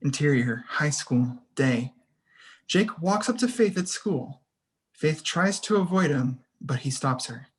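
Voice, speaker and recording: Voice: mid-range voice, animated, slow. A narrator reading scene headings and action lines in a scripted table read. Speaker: stage directions narrator.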